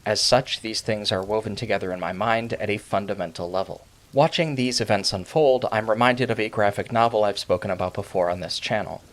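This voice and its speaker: Low-voiced man narrating a video essay. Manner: measured, formal, literary wording. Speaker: video essayist, channel host.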